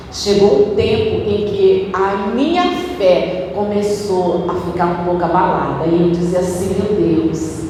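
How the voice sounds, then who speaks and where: A female pastor preaching a sermon in a church, emphatic, with sudden swings in pitch and tempo. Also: a little mellow, slight background noise. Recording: echoey church hall, recorded from a distance.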